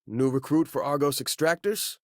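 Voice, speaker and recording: An actor playing an easygoing, wisecracking young space miner in a video game, with an American accent and a casual, joking delivery. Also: male voice, fairly high pitch, animated, normal speed, dry recording, studio quality, close to an everyday voice. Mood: relaxed, friendly. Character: easygoing, wisecracking male space miner who collects rocks. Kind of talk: conversational video game character dialogue.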